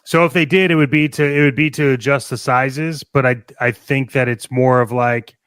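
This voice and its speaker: A man's voice: mid-range voice, fairly even intonation, normal speed, a bit bright, clean tone, no room sound, clean studio sound. A man chatting casually as a podcast co-host, an enthusiastic fan who rambles and thinks aloud as he goes. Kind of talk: casual fan chat about toys and films.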